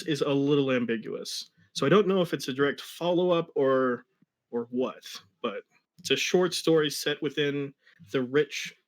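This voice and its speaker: A man co-hosting a livestream as its reader, talking casually and presenting a story. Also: medium pitch. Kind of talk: casual chat and dramatic reading.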